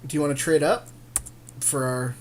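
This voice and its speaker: Higher-voiced playful man, noisy recording. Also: normal speed. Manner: playful, jokey, profane. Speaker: podcast co-host playing a card game draft. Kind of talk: casual game commentary between friends.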